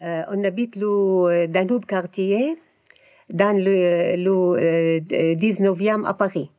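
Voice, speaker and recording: A woman's voice: lowish voice, steady tone, takes it slowly, dark tone, no room sound, clean studio sound. A woman learning French, giving short reportage lines and answers with frequent hesitant fillers.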